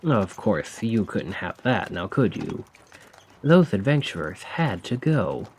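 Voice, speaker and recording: Sly carriage driver played by a man, vengeful and coldly taunting, unhurried ASMR drama delivery, faint noise with horse hooves behind. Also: fairly low pitch, very animated, darkish tone. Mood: sardonic, accusing. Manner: slow, sardonic, conspiratorial, taunting. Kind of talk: ASMR roleplay audio drama monologue.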